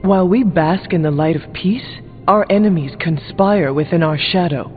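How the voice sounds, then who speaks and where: An actor playing a solemn, stoic demon-born warrior hero in a video game, with formal, old-fashioned phrasing. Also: male voice, high-pitched, moderate pace, narrow, tinny audio, lightly acted. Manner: formal, archaic phrasing without contractions. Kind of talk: video game dialogue acting. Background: background music.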